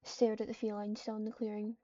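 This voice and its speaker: A woman's voice: mid-range voice, monotone, fast talker, mellow, distant mic. A woman improvising third-person story narration as a player in an online roleplay, recorded on a home computer microphone. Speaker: roleplayer narrating own character. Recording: muffled lo-fi mic, faint noise, clicks. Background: keyboard typing and clicks.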